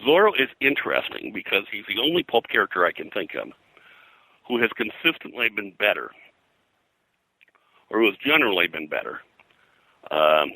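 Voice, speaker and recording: An older man with a very low, strikingly bright voice, recorded dry and close. He speaks as an interview guest, explaining and recollecting in detail with a halting flow. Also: sing-song, gravelly, dry recording, poor audio. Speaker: interview guest, pop culture historian. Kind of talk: conversational interview, recollection and commentary.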